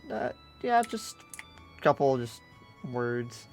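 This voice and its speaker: An easygoing newcomer in an anime Minecraft roleplay, voiced by a man. He answers casually and agreeably in a medium-pitched voice, fumbling a little for words, over faint background music. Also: big pitch swings, gravelly, heavily acted. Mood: casual, hesitant. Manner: casual, agreeable, halting replies. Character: easygoing male newcomer to town, agreeable listener.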